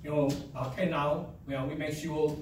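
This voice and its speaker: A man with a strong Chinese accent giving a halting, upbeat presentation at a public meeting, with many fillers. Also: on the high side, little pitch movement, slight background noise. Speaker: association presenter. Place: meeting room. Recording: echoey room, mic at a distance.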